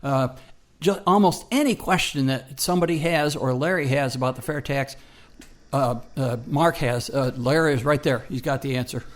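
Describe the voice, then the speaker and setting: A man's voice: medium pitch, moderate pace. A man co-hosting a radio program in casual promotional chat, folksy and hesitant, repeating himself for emphasis.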